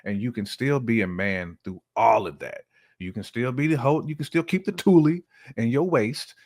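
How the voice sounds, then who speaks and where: A man's voice: mid-range voice, moderate pace, darkish tone. A man giving his opinions in casual podcast conversation, restating his points with emphasis. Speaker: podcast speaker.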